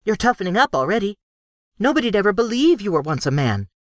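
A man voicing a cheerful, troublemaking female gnome in a high, bubbly, boisterous way for an audiobook. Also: big pitch swings, fast talker, a bit bright, no echo, clean studio sound. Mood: chatty. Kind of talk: audiobook character voice.

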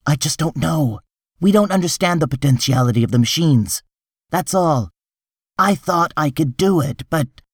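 A man's voice: medium pitch, lively intonation, measured pace, darkish tone, no echo, studio quality. Man voicing a tense, defensive, irritable official. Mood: defensive, exasperated. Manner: insistent, exasperated, emphatic.

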